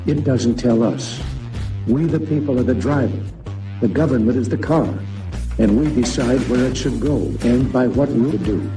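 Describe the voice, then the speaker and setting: Male speaker in a distant archive clip, mid pitch, measured and declarative, with guitar music clearly underneath.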